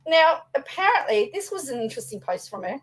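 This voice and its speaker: A young woman talks through commentary for her channel, her fairly high voice slightly raspy after a day of singing. She rambles along casually, looping back with ums and restarts as she shares her opinions. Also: sing-song, brisk, rough recording. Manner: chatty, rambling, with fillers and restarts. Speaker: vlogger and law student.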